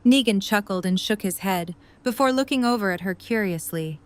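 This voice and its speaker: A woman's voice: medium pitch, moderate pace. Casting: woman storyteller for scripted drama narration, middle-range voice.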